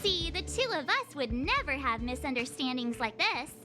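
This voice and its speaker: A woman playing a playful, teasing teenage girl adventurer in a video game, chatty, bubbly and expressive. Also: high voice, moderate pace, over music. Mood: upbeat.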